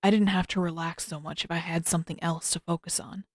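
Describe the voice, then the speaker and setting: A woman's voice: on the low side, fairly even intonation, fast, no room sound, studio quality, natural voice. Woman reading a guarded, grumpy teenage prince. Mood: guarded, awkward.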